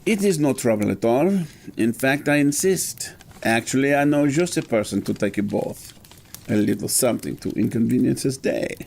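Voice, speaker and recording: A man playing a brisk, haughty eighteenth-century French gentleman in an audio drama, formal, wry and insistent. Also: medium pitch, lively intonation, creaky. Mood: breezy, commanding.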